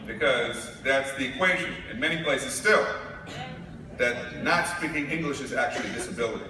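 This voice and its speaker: A man's voice: mid-range voice, normal speed. Man giving a keynote in an echoey hall. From New York. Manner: storytelling with humor. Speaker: keynote speaker. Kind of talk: conference keynote speech. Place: large conference hall. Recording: echoey hall recorded from far off, noisy.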